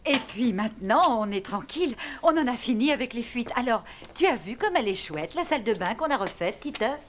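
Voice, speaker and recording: A woman's voice: on the high side, expressive, brisk, slight background noise, natural voice. A woman voicing a reasonable, conciliatory mother in a cartoon, tactful and coaxing. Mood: pleased. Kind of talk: cartoon voice acting.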